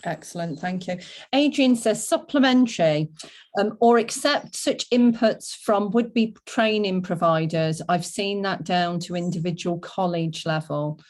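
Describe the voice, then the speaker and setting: A woman's voice: lowish voice, a little slow, darkish tone. A woman hosting a webinar, moderating and reading out comments and questions from attendees. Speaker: webinar host.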